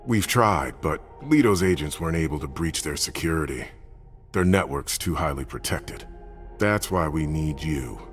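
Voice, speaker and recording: A man's voice: a bass voice, animated, moderate pace, faint music behind. An actor playing a stern, no-nonsense male mission planner in a video game, curt and matter-of-fact. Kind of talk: dramatic video game acting.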